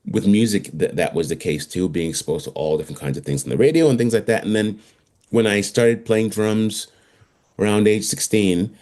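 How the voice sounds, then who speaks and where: Deep-voiced man in his fifties, talking casually. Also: normal speed, darkish tone. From Trinidad, moved to Canada around age twelve. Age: 50s. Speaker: interview guest, drummer. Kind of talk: casual interview storytelling.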